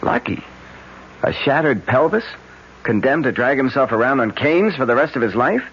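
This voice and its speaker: A man's voice: mid-range voice, expressive, normal speed, a bit bright. An actor playing an easygoing young man who hypnotizes a friend, in a 1970s radio drama. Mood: reasoning. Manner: easygoing, soothing. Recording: dull old radio broadcast, faint hiss.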